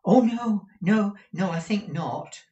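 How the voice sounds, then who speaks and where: A man's voice: high voice, expressive, takes it slowly, dark tone, echoey room, distant mic, highs cut off, voice pushed up. A man voicing a frail, timid young man from a storybook, tearfully eager and devoted, stammering and repeating his words. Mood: anxious denial. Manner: stammering, breathless repetition of words.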